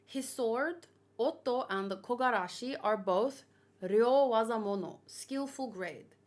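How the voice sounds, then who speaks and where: A woman doing casual livestream commentary, reading aloud and reacting in a chatty, expressive way. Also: fairly high pitch, measured pace. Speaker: manga read-along streamer.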